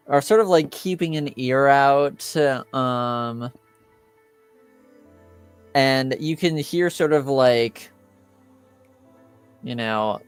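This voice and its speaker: Smooth, unhurried man as game master. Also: mid-range voice. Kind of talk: improvised tabletop game narration and rulings.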